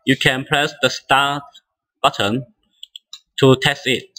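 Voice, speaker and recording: Give this to a man with a bright, forward voice and a middling pitch. He is a non-native English speaker walking through software step by step. His phrasing is halting, and he often restarts. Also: fairly even intonation. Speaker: instructor demonstrating software.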